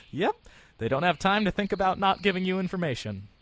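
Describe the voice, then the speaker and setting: Man as a wry, animated young defense lawyer. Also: high voice, a little fast, heavy background noise. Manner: dry, hesitant. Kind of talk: fan audio drama acting of a video game story.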